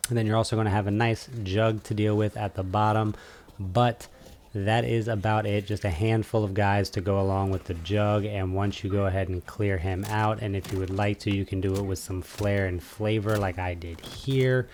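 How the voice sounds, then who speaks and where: A man's voice: fairly low pitch, steady tone, moderate pace, a little mellow, slight background noise. A man giving a casual, easygoing video game walkthrough as an instructional guide.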